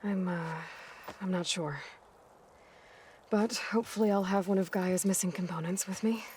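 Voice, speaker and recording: A woman's voice: lowish voice, moderate pace, darkish tone, in a large hall, a little hiss or hum, close to an everyday voice. A young woman acting an earnest, burdened but determined hero in a video game, in an understated and hesitant manner. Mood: guarded. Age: young adult.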